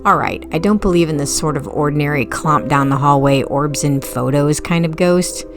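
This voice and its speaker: A woman's voice: low voice, moderate pace, music in the background. A woman voicing a sardonic, skeptical female bartender in an audiobook, dry and sarcastic. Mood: grudging.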